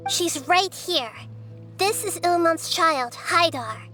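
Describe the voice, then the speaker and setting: A woman voicing a small childlike goddess in a video game, wise, articulate and gently but firmly moralizing. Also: very high voice, expressive, takes it slowly, faint music behind, exaggerated voice. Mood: calm, probing.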